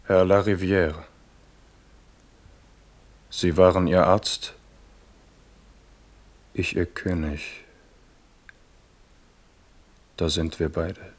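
Remorseful king, radio drama, deep register, slow pace, grave, measured, brooding. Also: steady tone, heavily acted. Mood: grave, restrained. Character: grieving, remorseful middle-aged French king. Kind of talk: German radio drama acting.

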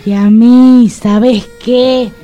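A restless, grumpy woman on a bad date in a radio comedy. Her voice is fairly high, with a blunt, sarcastic, complaining manner over light music. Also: steady tone, very slow, dark tone, muffled recording. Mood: irritated, sarcastic. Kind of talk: comic radio drama acting. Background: music behind the voice.

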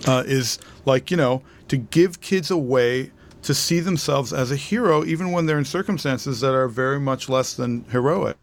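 A man's voice: medium pitch, moderate pace, few pauses. Male co-host, middle-aged, mid-range voice, earnest and rambling in informal discussion. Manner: earnest, rambling with repeated words. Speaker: podcast co-host. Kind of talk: casual podcast discussion.

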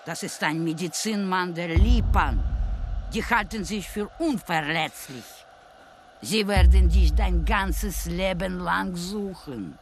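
Fierce creaky-voiced warrior parent. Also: male voice, high voice, a little hiss or hum. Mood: grave, urgent. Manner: shouted taunts, slow emphatic delivery. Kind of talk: radio drama acting. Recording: music under the voice.

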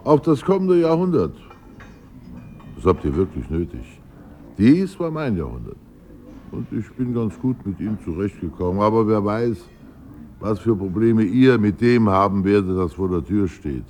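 An actor playing a proud, egocentric, nostalgic poet in a literary radio drama, speaking in a theatrical, declamatory way. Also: male voice, lowish voice, sing-song, moderate pace, darkish tone, a little hiss or hum. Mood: impassioned. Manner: theatrical, declamatory, swinging from murmur to outburst.

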